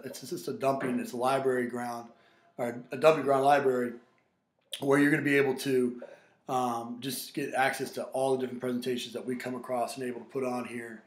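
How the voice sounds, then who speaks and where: Enthusiastic male podcast host, roomy sound. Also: medium pitch. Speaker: podcast host, strength coach. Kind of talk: podcast interview and promo.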